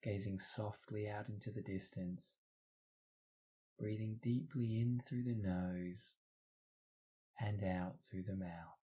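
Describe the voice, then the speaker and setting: A man with a fairly low voice and a very mellow, relaxed tone leads a guided meditation. He reads scripted instructions in a soothing way, guiding the listener step by step. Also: slow, long pauses, poor audio.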